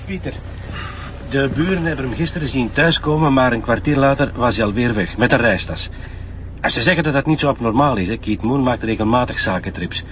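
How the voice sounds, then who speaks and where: An actor playing a loyal, earnest police inspector with a Flemish accent, placating and measured, in a TV detective drama. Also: male voice, a bit bright, natural voice. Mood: businesslike. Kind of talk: naturalistic TV detective drama dialogue. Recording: dull sound with faint rumbling noise.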